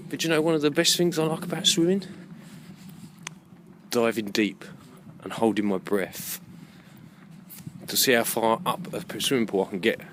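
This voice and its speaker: Male vlogger, mid-pitched, opinionated and reflective, unscripted and repetitive, with noticeable vehicle-like noise behind him. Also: moderate pace, pauses often. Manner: opinionated, reflective, repeats himself, swears freely. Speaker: video blogger with his own channel. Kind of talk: rambling unscripted monologue to camera. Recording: clear background noise, rough amateur recording.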